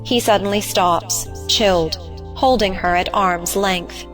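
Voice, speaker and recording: A woman's voice: medium pitch, a little slow, soft background music. A woman reading stage directions as scripted narration for an audio play.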